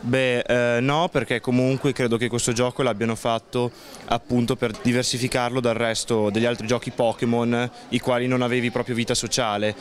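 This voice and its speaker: A young man answering a street interviewer in a relaxed, hesitant way, outdoors under a cafe awning into a handheld mic. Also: mid-range voice, fairly quick. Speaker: passerby interviewed. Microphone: handheld microphone.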